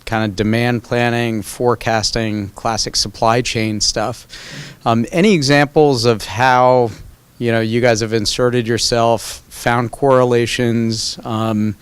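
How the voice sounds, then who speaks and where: A man's voice: medium pitch, steady tone, takes it slowly, clear, resonant tone, dry recording. A man in the audience asking a long, rambling question full of "um"s and "you know"s.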